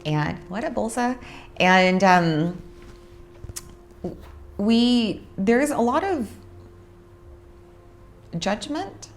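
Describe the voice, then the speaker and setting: A young adult woman from Orange County, California, hosting a casual monologue about her spiritual work, unhurried and reflective with frequent pauses. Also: medium pitch, animated. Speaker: host, spiritual practitioner.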